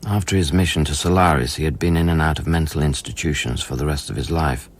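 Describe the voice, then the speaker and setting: Very deep-voiced, brooding, haunted scientist. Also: male voice. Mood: somber, reflective. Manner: brooding, measured, literary. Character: haunted, weary, introspective male scientist narrating his memories. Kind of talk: radio play first-person narration.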